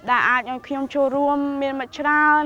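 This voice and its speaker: Very fast-talking young woman, muffled audio. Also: sits high, flat delivery, bright, forceful tone. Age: young adult. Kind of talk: halting interview answer. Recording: dull, muffled audio with background noise.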